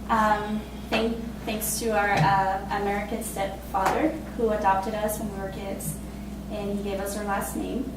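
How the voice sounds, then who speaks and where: A woman in her twenties from Costa Rica, telling her own story in a casual, halting way with many fillers and laughs. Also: medium pitch, little pitch movement, very echoey, recorded from a distance, heavy background noise. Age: 20s. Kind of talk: personal spoken testimony.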